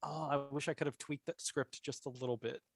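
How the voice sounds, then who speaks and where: A man's voice: mid-range voice, fairly even intonation, brisk, creaky, no room sound, clean studio sound. A man talking casually as a podcast panelist, explaining his opinions and thinking aloud as he goes. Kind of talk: casual opinionated discussion of a TV series.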